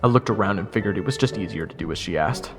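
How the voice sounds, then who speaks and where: A man's voice: on the low side, expressive, fast, long reverb tail, lightly acted. A man playing a wisecracking, easily flustered jester who narrates his own story in an audio drama. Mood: narrating. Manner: casual, wry, awkward. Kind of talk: first-person audio drama narration and dialogue. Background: music.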